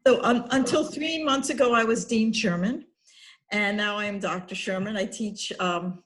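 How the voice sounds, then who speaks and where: A woman's voice: medium pitch, moderate pace, fairly bright tone. A middle-aged woman professor speaking in an upbeat, chatty way at an info session. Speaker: professor and program co-leader. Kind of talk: casual talk at an info session.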